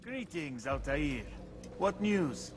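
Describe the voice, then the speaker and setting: An actor playing a courteous, cautious informant of an assassins' order in a video game, measured and formal. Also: male voice, on the high side, very animated, a little hiss or hum. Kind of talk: video game drama acting. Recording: dramatic music behind the voice. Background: music.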